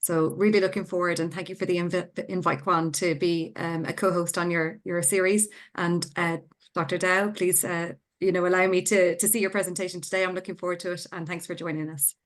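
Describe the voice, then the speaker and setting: Woman co-host, lowish voice, webinar intro, video call. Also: flat delivery, fairly quick. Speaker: co-host, program manager.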